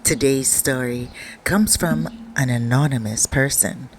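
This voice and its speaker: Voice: mid-range voice, measured pace, background noise. Matter-of-fact story channel narrator. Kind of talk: scripted narration introducing a story.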